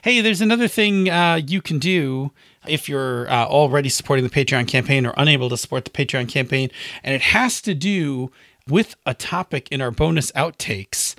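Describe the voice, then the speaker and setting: A man's voice: mid-range voice, moderate pace, a bit bright, dry recording, studio quality. A man co-hosting a podcast with casual, jokey banter and frequent hesitation. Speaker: podcast co-host.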